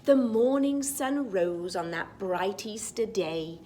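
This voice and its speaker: Lady doing storytime for little kids, really swoopy sing-song voice, takes her time, bit of background rumble. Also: slow. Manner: expressive, sing-song reading with chatty asides to children. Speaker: children's storytime teacher. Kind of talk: animated picture-book read-aloud for young children.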